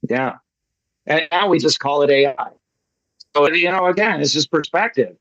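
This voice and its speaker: A man's voice: a little high, moderate pace, a bit bright, dry recording. A man speaking casually as a guest on an online call, effusive and rambling, repeating himself for emphasis. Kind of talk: casual online talk answering questions. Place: online video meeting. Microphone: video call. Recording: slightly dull online call audio.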